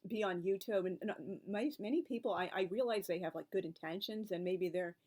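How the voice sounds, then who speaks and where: A woman's voice: mid-range voice, fast, a little mellow. A woman in her forties talking through her own experiences as a book and author video creator in a casual, reflective way. She rambles along, stacking up asides and tangents, with a wry, exasperated edge when she turns to advice from others. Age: 40s. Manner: chatty and rambling, piling up asides. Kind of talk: casual reflective vlog talk.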